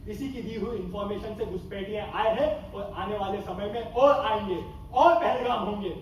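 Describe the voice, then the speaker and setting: A man's voice: high-pitched, normal speed, lightly acted. Man acting a bitter army captain, distant and echoey. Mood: heated, accusing. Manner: impassioned, sarcastic, rhetorical. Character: bitter, defiant army captain weary of war. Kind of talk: theatrical Hindi stage acting. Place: stage of a hall at a student festival. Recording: echoey hall, far mic, noisy.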